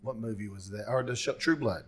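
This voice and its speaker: A man's voice: lowish voice, brisk, a little hiss or hum. Casual man chatting, easy colloquial banter. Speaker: podcast co-host.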